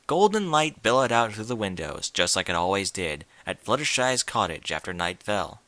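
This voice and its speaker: Casting: male story narrator with a bright, lively delivery, recorded close and dry. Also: on the low side, normal speed, dry recording. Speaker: audiobook narrator. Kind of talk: scripted fiction narration.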